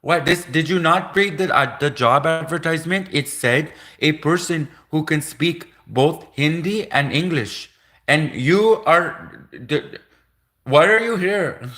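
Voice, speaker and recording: A man's voice: fairly high pitch, slow pace, pushed and bright. A man voicing a flustered, baffled male job interviewer who asks incredulous, stammering questions.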